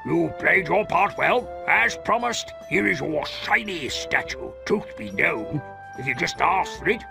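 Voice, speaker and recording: A man's voice: a little high, animated, unhurried, vocal fry, full character voice. A man voicing a pompous, boastful father goat with a posh British accent, in a cartoon game fandub. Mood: jolly, triumphant. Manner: pompous, old-fashioned exclamations. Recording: loud music behind the voice.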